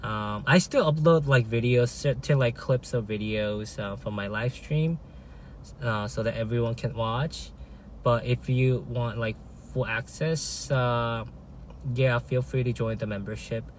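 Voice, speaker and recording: Man, native Korean speaker, casual live-stream host, halting with many fillers, faint background noise. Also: mid-range voice. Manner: halting, many fillers and restarts, frequent "right?". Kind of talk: casual live-stream chat, reading viewer comments.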